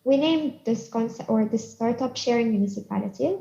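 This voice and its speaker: Female presenter, Lebanese accent, soft-toned high voice, pitching over a muffled online call. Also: a little fast, vocal fry. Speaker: startup co-founder, presenter. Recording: dull, roomy video-call audio.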